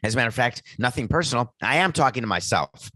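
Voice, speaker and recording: A raspy-voiced man hosting a podcast, alone in a home studio and mocking the news in a talkative, sarcastic solo monologue. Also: medium pitch, animated, fast talker, bright, forceful tone, dry recording. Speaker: podcast host. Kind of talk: solo sports commentary monologue. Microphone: desk setup with a webcam.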